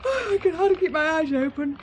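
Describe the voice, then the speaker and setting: An actress playing a sleepy, inquisitive wife with a soft, drowsy manner in an audio thriller. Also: female voice, extremely high pitch, expressive, fast talker, slight background noise. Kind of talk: spy thriller audio drama acting.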